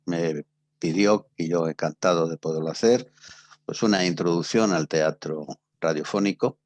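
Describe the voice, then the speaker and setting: An older man with a Castilian Spanish accent speaks in a low-pitched voice with very little room sound. He lectures like a teacher, halting between short phrases as he explains things. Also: no echo. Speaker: teacher giving a radio theatre workshop. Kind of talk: introductory lecture.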